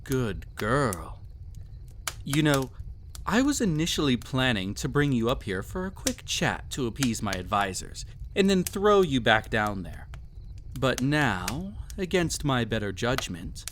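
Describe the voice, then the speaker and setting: A man's voice: medium pitch, very animated, a little slow, a bit bright, slight background noise. A man playing a cruel, possessive, vain emperor toying with a captive in an intimate audio roleplay, with haughty, teasing, theatrical phrasing. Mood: possessive fondness.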